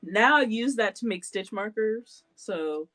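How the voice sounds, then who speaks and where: A woman's voice: medium pitch, animated, measured pace, fairly bright tone. A woman in her late twenties chatting casually as a podcast host, self-deprecating and laughing often. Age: 20s. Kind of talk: casual chat.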